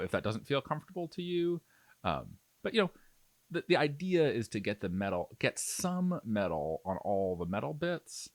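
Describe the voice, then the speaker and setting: Low-voiced man, animated tutorial narration. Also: dry recording. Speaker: miniature painting tutorial host. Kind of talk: informal step-by-step tutorial narration.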